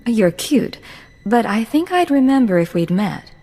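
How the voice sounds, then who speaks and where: A woman's voice: on the high side, sing-song, unhurried, mellow, heavily acted. An actress playing a cool, sardonic female secret agent with dry, cutting replies in a game cutscene. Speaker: undercover imperial agent. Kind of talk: video game cutscene acting.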